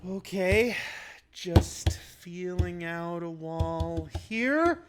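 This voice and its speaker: A man's voice: high voice, animated, very slow, noisy background, full character voice. A man playing a nervous, cautious guest in an amateur horror comedy, anxious and hesitant as he copes. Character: nervous, cautious male guest coping with danger by joking.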